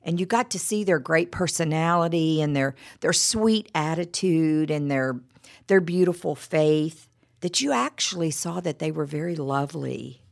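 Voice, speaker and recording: A woman's voice: deep voice, normal speed, gravelly. A middle-aged woman giving a devotional talk with personal stories, her voice froggy from a cold, chatty and full of stammered repeats and "you know".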